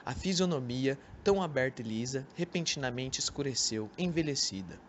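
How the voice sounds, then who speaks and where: A man's voice: medium pitch, lively intonation, normal speed. A young man reading scripted literary narration for an amateur radio drama. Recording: noisy amateur recording.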